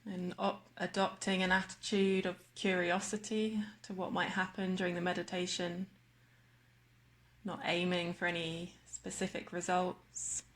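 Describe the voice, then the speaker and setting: A woman guiding a body scan meditation in a slow, measured manner with long pauses. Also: on the low side, level tone, fairly bright tone. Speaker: meditation guide.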